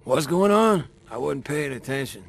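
A man's voice: a little high, lively intonation, moderate pace, vocal fry. A man voicing a brash, impatient, cocky gunfighter in a video game. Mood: bored, irritated. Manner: brash, combative. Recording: loud music over the voice.

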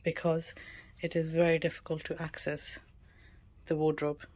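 A woman's voice: sits low, little pitch movement, normal speed, a little mellow. A woman travel vlogger giving a casual, matter-of-fact tour narration of a small cabin. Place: mostly a small cruise ship cabin. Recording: clear background ambient noise.

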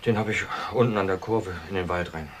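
An actor playing an anxious, confused fugitive brother in a German crime radio drama. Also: male voice, deep voice, a little fast, background noise, lightly acted.

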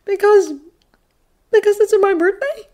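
A woman voicing an excitable, bashful and eager teenage boy in a story, hesitant and prone to rambling. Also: very high voice, a little slow, pitched above the performer's own voice.

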